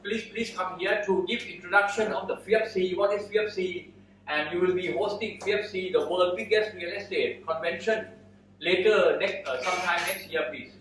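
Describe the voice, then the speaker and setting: Male event host, high-pitched, polite and explanatory, speaking informally, recorded from a distance. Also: steady tone, normal speed, a bit bright. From Thailand. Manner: polite, explanatory, repeats phrases. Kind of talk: informal introduction to an audience. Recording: roomy, distant microphone, faint noise.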